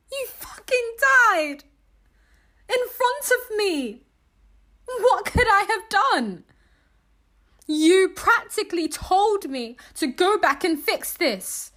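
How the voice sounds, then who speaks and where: A woman playing a desperate, defiant young time traveller in an audio drama, speaking emotionally and haltingly. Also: very high voice, bright, forceful tone, full character voice. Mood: distraught, angry.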